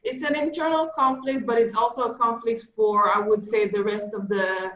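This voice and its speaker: A woman in her thirties from Israel, speaking as an activist on a panel, measured and hesitant with frequent pauses to search for words. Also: fairly high pitch, steady tone, a bit bright, reverberant, lo-fi sound. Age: 30s. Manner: measured, hesitant with many ums. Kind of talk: panel discussion remarks.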